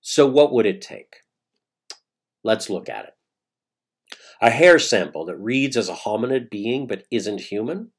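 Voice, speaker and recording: A man with a low, slightly husky voice performs a dramatic monologue. His pitch swings widely as he tells the story conversationally. He leans on key words and leaves pointed pauses. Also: expressive. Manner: emphatic, rhetorical questions, dramatic pauses. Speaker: playwright reading own monologue.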